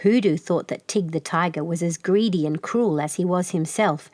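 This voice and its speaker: A woman narrating a children's storybook in an expressive storytelling manner, acting out the characters. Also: fairly low pitch, normal speed, no echo.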